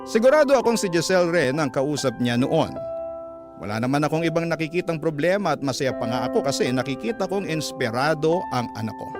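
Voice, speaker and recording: A man reads a heartfelt-letter style story for radio drama. His pitch is on the high side, and music plays clearly behind him. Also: animated, brisk. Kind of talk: first-person dramatic letter reading. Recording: clear music bed under voice.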